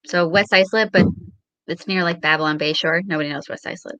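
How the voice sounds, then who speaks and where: A woman from Long Island with a lowish voice, recorded fairly dry. She chats casually and comes across as modest and giggly. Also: steady tone, brisk, fairly bright tone, no room sound. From Long Island, New York. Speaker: guest performer. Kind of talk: casual chat.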